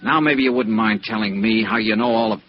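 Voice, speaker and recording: An actor playing a tough, sarcastic American insurance investigator in a clipped, hard-boiled 1950s radio noir style. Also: male voice, on the low side, brisk, lightly acted. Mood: terse, probing. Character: tough, sardonic male freelance insurance investigator. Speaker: radio drama lead actor. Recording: dull vintage radio recording.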